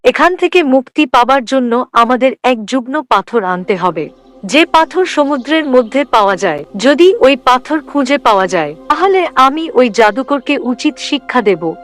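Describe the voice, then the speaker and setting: A solemn magician queen from a fairy-tale cartoon, acted by a woman. She speaks formally and with resolve in a fairly high voice. Music sits behind her. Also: sing-song, normal speed. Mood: resolute. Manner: formal, determined.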